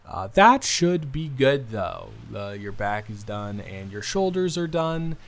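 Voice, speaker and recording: A man playing an awkward, earnest young man in an improvised intimate roleplay, hesitant, rambling and quick to apologize. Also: on the low side, very animated, exaggerated voice. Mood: awkward, chatty. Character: awkward, earnest, smitten young man, best friend's brother.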